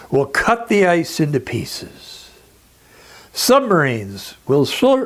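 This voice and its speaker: A man delivering a scripted, persuasive lecture in a solemn, emphatic manner with dramatic pauses. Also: fairly high pitch.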